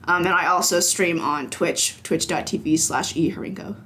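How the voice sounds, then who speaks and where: Bright-voiced young games writer, rambling. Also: mid-range voice, moderate pace. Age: young adult. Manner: relaxed, rambling, giggly. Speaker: podcast guest, games journalist. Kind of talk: casual interview chat. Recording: echoey room recording.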